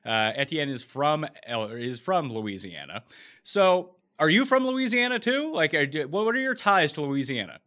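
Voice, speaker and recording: A man's voice: a little high, sing-song, normal speed, pushed and bright. A man from Canada hosting a sports podcast, asking long, conversational questions of his guest. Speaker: podcast host.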